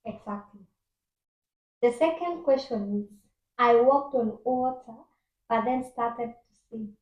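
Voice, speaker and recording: A woman's voice: on the high side, slow, lo-fi sound. A woman reading out Bible quiz questions in casual chat, laughing often. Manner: giggly, reading questions aloud. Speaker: quiz asker. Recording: echoey room.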